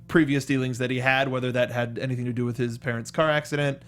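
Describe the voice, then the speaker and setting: A man's voice: mid-range voice, little pitch movement, fast talker, fairly bright tone, smooth. A man co-hosting a casual film review chat, acting out reactions in quoted asides. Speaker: co-host of a film review show.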